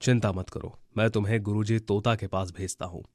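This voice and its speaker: Wise old owl elder, male actor: lowish pitch, kindly, advisory, cartoon story delivery. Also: fairly even intonation, fairly quick, darkish tone, no room sound, studio quality, close to an everyday voice. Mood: reassuring. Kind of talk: Hindi children's cartoon story acting.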